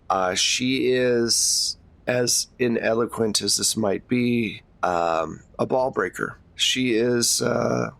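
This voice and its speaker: Guy doing a tired middle aged school admin for a tabletop rpg, slow careful talker, guarded but gossipy, very dry sound. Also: fairly low pitch, vocal fry, a bit bright, no echo. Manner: hesitant, diplomatic, choosing words carefully.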